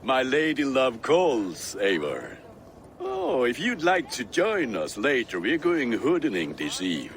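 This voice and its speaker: A merry, good-hearted blacksmith for a video game. He talks slowly but boisterously, with hugely animated pitch and faint outdoor ambience behind. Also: a little hiss or hum, exaggerated voice. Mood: merry, excited. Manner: boisterous, informal. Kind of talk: video game cutscene acting.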